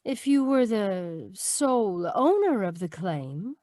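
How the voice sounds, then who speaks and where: A woman's voice: mid-range voice, sing-song, slow, warm tone, no room sound, clean studio sound. A woman playing a selfish, scheming cafe singer with expensive tastes, world-weary, in old-time radio drama style. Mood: coy, dissatisfied.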